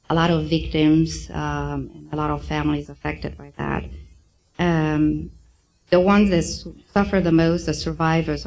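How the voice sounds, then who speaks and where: A middle-aged woman with a Romanian accent giving a thoughtful public lecture, often pausing with ums. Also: sits low, creaky, darkish tone, poor audio. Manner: thoughtful, hesitant with many ums. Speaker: psychiatrist giving a talk.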